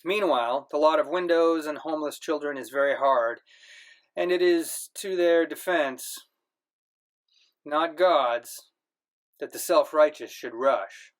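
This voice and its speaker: A man reading a novel aloud in a measured, earnest first-person voice. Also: on the high side, a bit bright. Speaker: audiobook reader.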